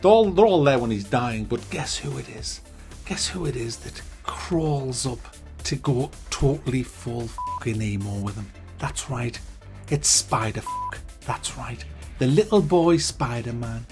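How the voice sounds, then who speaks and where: A man giving a ranting movie review, animated, sarcastic and full of swearing. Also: medium pitch, a little slow, faint music behind.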